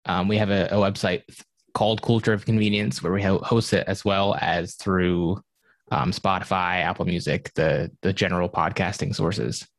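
A man on a podcast panel, explaining in a relaxed, practical way how he prepares guests. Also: sits low, normal speed, no echo. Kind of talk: casual panel chat.

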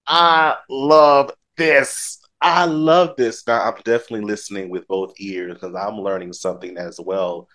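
A man's voice: fairly low pitch, steady tone, unhurried, hardly any pauses, a bit bright. A man hosting a motivational talk show, speaking conversationally in an encouraging, affirming manner.

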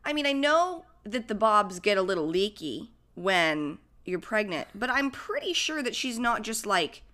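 A woman's voice: medium pitch, very animated, unhurried, pushed and bright. A young woman co-hosting a podcast, chatting frankly and giggling, sounding stuffy and sniffly from a cold. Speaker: podcast co-host. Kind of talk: casual chat.

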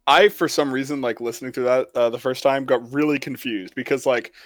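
A man's voice: mid-range voice, brisk, no room sound, studio quality. A man speaking in a rambling, jokey, informal way as a podcast host chatting with friends. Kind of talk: casual group review chat.

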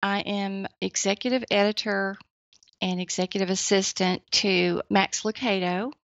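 A woman in her seventies, a writer and editor, chatting as a podcast guest with a youthful, girlish-sounding voice, gentle and quick to laugh. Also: mid-range voice, steady tone, no echo. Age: 70s. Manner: soft-spoken, laughs often, gentle. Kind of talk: casual interview chat. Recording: dry, slightly dull lo-fi sound.

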